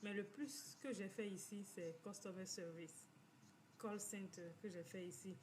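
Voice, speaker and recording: A woman talks at a middle pitch and tells her own story. She stops and restarts often and repeats phrases as she goes. A faint hiss of noise sits behind her voice. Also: steady tone, darkish tone. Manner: repetitive, halting with many restarts. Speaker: community finance speaker. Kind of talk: personal testimony talk. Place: living in the United States.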